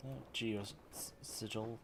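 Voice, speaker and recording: A man's voice: on the low side, steady tone, unhurried, gravelly, noisy background. A man doing casual gameplay commentary, muttering and echoing lines back as he plays. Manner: mutters, echoes dialogue back, laughs. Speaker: streamer. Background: game music.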